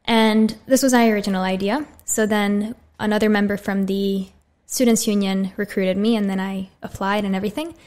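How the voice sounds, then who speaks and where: A woman's voice: medium pitch, normal speed, hardly any pauses. A woman in her twenties with an American accent, chatting as a podcast guest in a small studio on a condenser mic. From USA. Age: 20s. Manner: friendly, relaxed, frequent "so" openers. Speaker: podcast guest, medical student. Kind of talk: casual interview talk. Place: small bright studio room. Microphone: studio condenser mic on boom arm.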